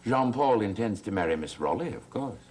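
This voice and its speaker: Mocking deep-voiced cruel stepfather. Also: very animated, fairly quick, darkish tone, slight background noise. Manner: sardonic, mocking, smooth. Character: suave cruel middle-aged stepfather, mocking. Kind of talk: 1970s TV soap opera acting. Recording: music score behind voice.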